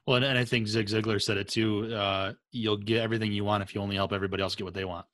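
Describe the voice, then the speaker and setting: A man's voice: on the low side, level tone, very fast, a bit bright. A man hosting a podcast, talking in a casual, conversational interview style. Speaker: podcast host.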